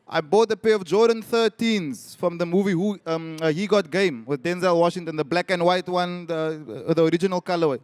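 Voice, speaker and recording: A man from South Africa telling a story as a guest on a live panel, wry and animated. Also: a little fast, hardly any pauses, smooth, no echo. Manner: animated, wry, rises in pitch when stressing points.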